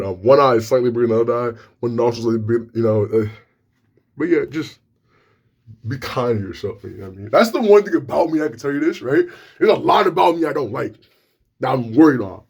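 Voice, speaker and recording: Young male vlogger, deep, slightly slurred delivery, loose and frank, swears freely. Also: expressive, fast, breathy edge. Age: young adult. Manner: rambling, frank, profane, many restarts. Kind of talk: casual talk to camera.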